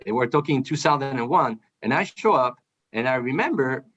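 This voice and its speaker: A man's voice: medium pitch, animated, moderate pace, a bit bright. French-accented man on a muffled call. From France. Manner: hesitant, frequent "uh" fillers. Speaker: guest, brand manager. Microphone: video call. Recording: muffled video call audio.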